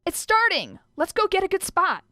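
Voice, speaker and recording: An eager young child in a fan-dubbed game. The voice is extremely high and leaps around excitedly, close and dry. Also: female voice, moderate pace, no echo, full character voice. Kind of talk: amateur game fan dub.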